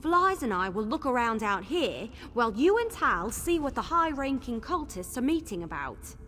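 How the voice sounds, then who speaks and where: An actress voicing a spirited, chatty and mischievous young female scout in a video game, with an expressive delivery. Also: fairly high pitch, moderate pace. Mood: brisk, earnest. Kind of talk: video game character acting. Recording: game dialogue over background music.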